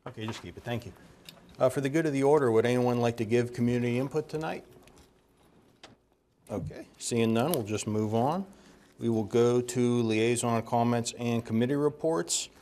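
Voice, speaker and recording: A man chairing a council meeting, running through the agenda briskly. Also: mid-range voice, dark tone, slight background noise. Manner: brisk, procedural.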